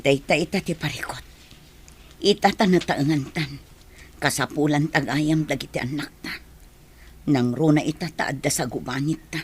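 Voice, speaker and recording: A woman playing an anguished, remorseful mother in a radio drama, speaking in a husky, plaintive, earnest voice. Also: deep voice, normal speed, long pauses. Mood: sorrowful, regretful. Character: anguished, remorseful mother longing for her children. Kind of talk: Ilocano radio drama acting.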